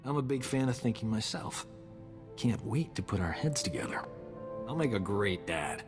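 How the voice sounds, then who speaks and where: A lowish male voice from an actor as a cocky, wisecracking space doctor in a game. He is breezy and flirtatious, forever pleased with himself. Music plays clearly behind him. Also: animated, normal speed, natural voice. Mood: breezy, boastful. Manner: breezy, self-satisfied, joking. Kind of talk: scripted video game acting.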